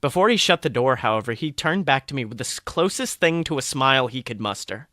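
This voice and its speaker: A man narrating a first-person story in a dramatic, expressive way. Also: mid-range voice, brisk, no room sound, clean studio sound. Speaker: podcast host reading fan fiction.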